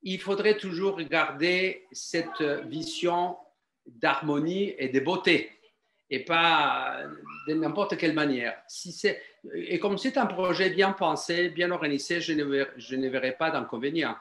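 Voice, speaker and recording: A man giving a halting, polite opinion to a public hearing over a call, often repeating himself. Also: fairly high pitch, fairly bright tone, reverberant, slight background noise. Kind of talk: spoken opinion to a panel. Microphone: video or phone call.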